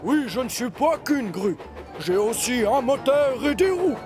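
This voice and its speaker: A man's voice: extremely high pitch, sing-song, measured pace, music in the background, full character voice. A man voicing an eager, unsure, good-natured crane locomotive in a French cartoon dub, speaking earnestly and with exclamations. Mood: proud.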